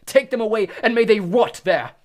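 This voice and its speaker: A man's voice: very high-pitched, fairly even intonation, a little fast, fairly bright tone, pitched above the performer's own voice. A man voicing a stern, furious older judge who bellows his verdicts. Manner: bellowing, cold and harsh.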